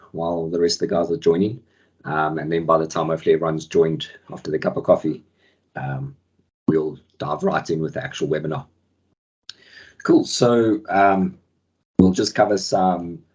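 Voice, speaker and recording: A man hosting a software webinar over a video call, his voice croaky from the flu, talking in a relaxed way with many ums. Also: low voice, lo-fi sound. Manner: relaxed, many ums, friendly filler words. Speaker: webinar host and product manager. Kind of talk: casual software webinar presentation. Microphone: video call microphone.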